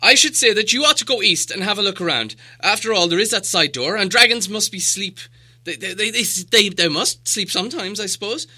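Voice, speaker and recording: A small, flustered storybook hobbit, pitched well up and piercingly bright. He is timid and fussy, tripping and stammering over his words. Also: lively intonation, a little fast, heavily acted. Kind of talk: storybook character voice.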